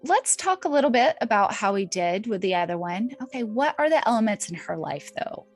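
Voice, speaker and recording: A woman's voice: medium pitch, big pitch swings, fairly quick, faint music behind. A woman co-hosting a podcast, telling stories in a casual, informal and chatty way with emphatic repetition. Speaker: podcast co-host. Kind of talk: casual storytelling chat.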